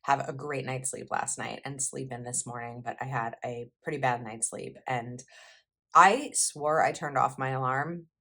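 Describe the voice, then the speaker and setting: Low-voiced woman chatting through her planner. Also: steady tone, moderate pace, fairly bright tone. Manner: chatty and rambling, with fillers and self-corrections. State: slightly froggy voice from heavy talking. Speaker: planner YouTuber. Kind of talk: casual planner vlog chat.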